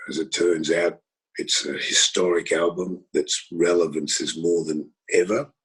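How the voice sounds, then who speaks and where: A husky-voiced man reminiscing in a rambling conversational way, with many pauses and fillers. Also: sits low, steady tone, a bit bright, low-quality recording. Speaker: record label executive.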